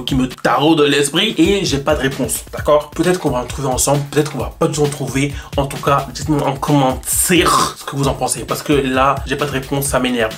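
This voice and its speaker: A young man talking straight to his viewers in a casual, animated way, asking questions and pausing to think aloud. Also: medium pitch. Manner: animated, rhetorical, addresses viewers directly. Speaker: YouTuber. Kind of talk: casual talk to camera.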